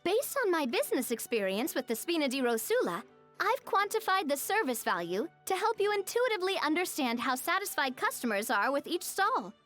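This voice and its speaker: A woman's voice: high-pitched, sing-song, brisk, a bit bright, soft background music. A woman voicing an upbeat, encouraging young businesswoman in a video game, cheerful and articulate. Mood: instructive, upbeat.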